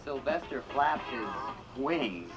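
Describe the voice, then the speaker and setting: A storybook narrator reading a children's read-along in slow, animated storytelling. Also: medium pitch. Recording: 1980s read-along record with funky music. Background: funky 80s music track.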